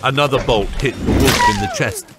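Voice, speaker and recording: A man's voice: medium pitch, wildly swooping pitch, a little fast, heavy background noise. A man narrating a scripted fantasy audiobook. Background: loud music.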